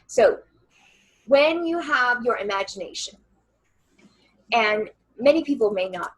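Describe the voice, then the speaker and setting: A woman channeler and coach with a loud voice, earnestly talking a listener through a writing meditation in a casual interview over a video call. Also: a little high, slightly rough, poor audio. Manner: earnest and reassuring, many fillers.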